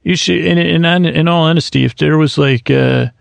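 Gravelly man rambling into a close mic. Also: fairly high pitch, dry recording. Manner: meandering, self-deprecating, trailing off and restarting; slips into quick imagined dialogues. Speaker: podcast host. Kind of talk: rambling comic monologue.

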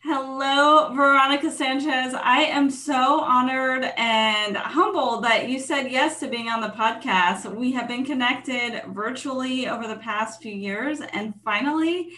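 A woman's voice: high-pitched, a little slow, few pauses, sharp tone. A woman hosting a podcast interview, greeting her guest effusively and heaping praise on her. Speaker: podcast host. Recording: echoey room.

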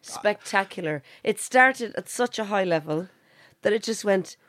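A woman's voice: medium pitch, lively intonation, unhurried, a bit bright, dry recording. A woman co-hosting a podcast in casual chat, enthusiastic and teasing. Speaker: podcast co-host.

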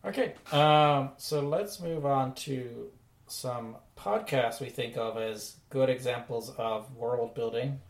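A man's voice: medium pitch, takes it slowly. A man hosting a podcast in a casual, conversational discussion style. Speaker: podcast host.

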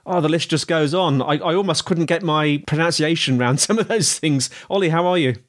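A man's voice: a little high, a little fast. A man hosting a podcast interview, asking friendly, rambling questions with many fillers. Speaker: podcast host.

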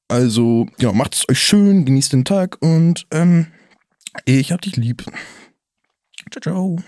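An actor playing a downcast young activist in an audio drama, haltingly leaving an apologetic, self-deprecating voice message. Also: male voice, fairly high pitch, lively intonation, darkish tone, dry recording, studio quality. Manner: halting, hesitant, self-deprecating, repeats himself.

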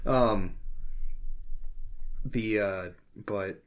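A man chatting casually and speculatively as a podcast co-host, in a jokey, animated way. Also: fairly low pitch, drawn-out words.

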